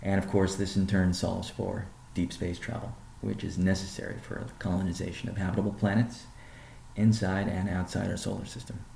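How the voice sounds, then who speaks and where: A man presenting as a company chief on a business conference call, speaking in measured, deliberate phrases. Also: low-pitched, flat delivery, creaky, mellow, slight background noise. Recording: roomy, echoing sound.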